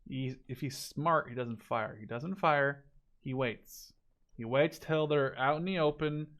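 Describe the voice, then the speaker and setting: A man's voice: a little high, fairly bright tone. Rambling man commentating on a game. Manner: rambling, speculative, thinking aloud. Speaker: video game spectator commentator. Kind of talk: casual, unscripted gameplay commentary.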